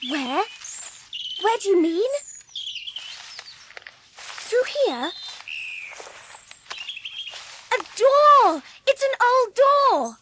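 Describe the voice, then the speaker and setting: A woman's voice: extremely high pitch, heavily acted. A woman playing a lonely, curious young orphan girl in a dramatised audiobook, speaking with wonder. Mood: excited, wondering. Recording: clearly audible background noise. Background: birdsong.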